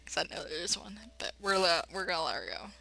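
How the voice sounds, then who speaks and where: Bright-voiced woman narrating a game. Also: on the low side, fast, creaky, soft background music. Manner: animated, chatty, folksy mild exclamations. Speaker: let's player. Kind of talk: game commentary mixed with dramatic read-aloud narration.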